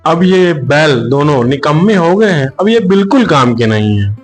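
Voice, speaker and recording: A man's voice: fairly high pitch, lively intonation, moderate pace, faint music behind. A man voicing a greedy, cunning, glib villager in a children's cartoon story. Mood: dismissive. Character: greedy, cunning male villager selling his old bulls.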